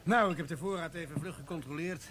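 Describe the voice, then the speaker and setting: An actor playing a subdued, deferential army corporal in a radio play. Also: male voice, fairly high pitch, fast, a bit bright, natural voice. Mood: worried. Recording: pub chatter behind.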